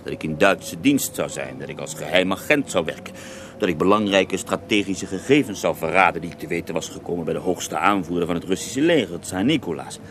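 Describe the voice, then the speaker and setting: A man's voice: deep voice, animated, brisk. An actor playing an earthy Russian holy man who tells his life story with theatrical relish in a radio drama. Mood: emphatic. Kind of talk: radio drama acting in interview form. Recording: noisy older radio drama recording.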